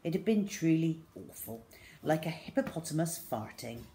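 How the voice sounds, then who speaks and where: A woman's voice: low-pitched, sing-song, normal speed, dark tone, a little hiss or hum. A middle-aged woman reading a children's story aloud expressively. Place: small room indoors at home.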